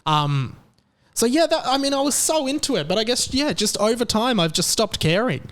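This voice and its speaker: A man's voice: extremely high pitch, sing-song, moderate pace, fairly bright tone. An Australian man in his thirties hosting a casual solo podcast, rambling and self-mocking with lots of fillers and restarts. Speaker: podcast host.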